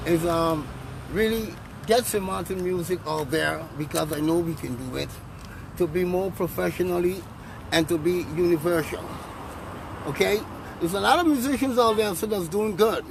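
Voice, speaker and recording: Man, musician guest, halting and repetitive, gravelly tone, recorded on a noisy street. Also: sits high. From St. Maarten. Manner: halting, repeats words, encouraging. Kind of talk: street interview. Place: outdoors on a street. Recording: loud street noise. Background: traffic and passing planes.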